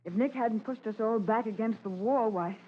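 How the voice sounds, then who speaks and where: An actress playing a frank, chatty detective's assistant in a radio drama. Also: female voice, on the high side, normal speed, lightly acted. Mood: relieved. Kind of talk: 1950s radio drama acting. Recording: muffled, noisy old radio recording.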